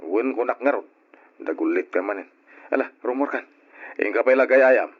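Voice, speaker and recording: A man playing an irresponsible, hard-drinking husband and father in an Ilocano radio drama, full of sheepish drawn-out excuses and interjections. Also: mid-range voice, lively intonation, close to an everyday voice. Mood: dismissive. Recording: muffled lo-fi old radio recording.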